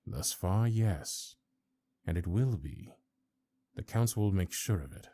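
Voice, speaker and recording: A man playing a stern, haughty old high councilor of a fantasy realm in an audio roleplay, speaking in formal, archaic, deliberate phrases. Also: lively intonation, warm tone, heavily acted. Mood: cool, stern.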